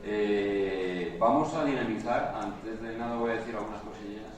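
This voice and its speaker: A man's voice: fairly low pitch, normal speed, faint music behind. Spanish man lecturing in an echoey hall. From Spain. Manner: hesitant, many filler sounds, addresses audience directly. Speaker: conference speaker. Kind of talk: live conference talk. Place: conference hall. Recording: roomy hall sound from far off with faint noise.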